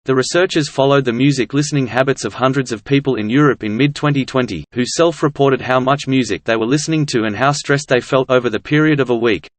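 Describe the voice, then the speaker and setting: A man with a mid-pitched voice reads a prepared informational text at a quick pace. The recording is very dry, free of room sound. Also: no room sound. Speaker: reader of practice passages. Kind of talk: scripted read-aloud.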